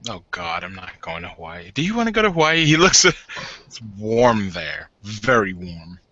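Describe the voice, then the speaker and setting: A man's voice: fairly low pitch, big pitch swings, normal speed, sharp tone, dry recording, highs cut off. A man casually roleplaying as a player in a tabletop game session.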